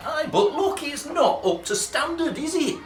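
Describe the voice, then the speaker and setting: An actor playing a fussy, bookish schoolboy in a radio play, speaking in a posh, precise way. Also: male voice, very high-pitched, big pitch swings, measured pace, a crowd in the background, exaggerated voice. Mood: pedantic complaint. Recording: echoey, distant, hissy.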